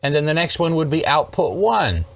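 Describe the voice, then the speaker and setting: A man's voice: fairly high pitch, very animated, moderate pace, creaky. A man hosting an electronics repair video, talking casually and emphatically through troubleshooting, with rhetorical questions and frequent check-ins. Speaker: host of an electronics repair series. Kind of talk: casual hands-on troubleshooting demonstration.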